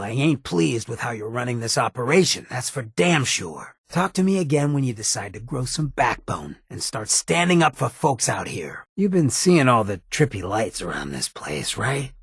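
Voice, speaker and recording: An actor playing a roguish, streetwise ghoul in a video game, with a very raspy, gravelly voice and a cocky, laid-back, slangy way of talking. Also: male voice, mid-range voice, no room sound, clean studio sound, full character voice. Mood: casual, wry. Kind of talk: video game companion dialogue.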